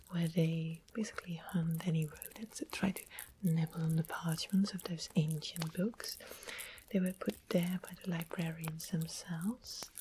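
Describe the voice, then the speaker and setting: Loud noise and small clicks surround a soft, breathy, low-pitched whisper. It is a sweet-mannered witch played by a woman in a fantasy ASMR roleplay. She explains things slowly and patiently, with a gently wry edge. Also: natural voice, low-quality recording.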